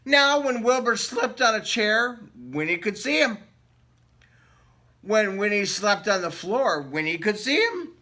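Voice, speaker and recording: A man's voice: sits high, very animated, a little slow, bright, forceful tone. A man reading a picture book aloud to children in a playful way, asking them questions along the way. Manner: playful, addresses young listeners with questions. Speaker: children's story reader. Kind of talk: animated picture book read-aloud with asides to listeners.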